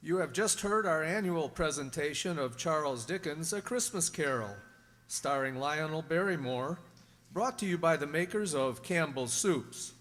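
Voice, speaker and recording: A man plays a formal announcer from old-time radio, his voice a little high. He delivers scripted announcements in a hall with long reverb. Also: expressive, normal speed, fairly bright tone.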